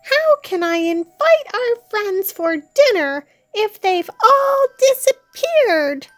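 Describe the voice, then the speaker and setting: A woman's voice: extremely high pitch, sing-song, slow, fairly bright tone, faint music behind, voice pushed up, exaggerated voice. A woman voicing a sweet, eager young girl witch in a children's storybook, with a singsong way of talking. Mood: puzzled.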